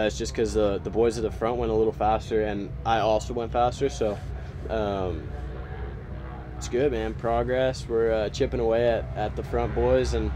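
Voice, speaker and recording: A young man, a motorcycle racer, chatting casually for his vlog in a laid-back, slangy way with many fillers. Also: lowish voice. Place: outdoors at a racetrack paddock. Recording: loud crowd noise outdoors.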